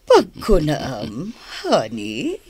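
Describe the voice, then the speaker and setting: A scornful radio drama villainess, partner to a crime boss. She drawls very slowly and tauntingly, with sweeping intonation. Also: low voice, wildly swooping pitch, darkish tone, breathy edge, dry recording, heavily acted. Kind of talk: radio drama acting in Ilocano.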